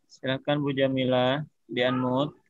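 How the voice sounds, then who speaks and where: A man's voice: mid-range voice, level tone, a little slow. A man co-hosting an online meeting, casually calling on participants to speak. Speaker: webinar co-host calling on participants. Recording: dull lo-fi online call.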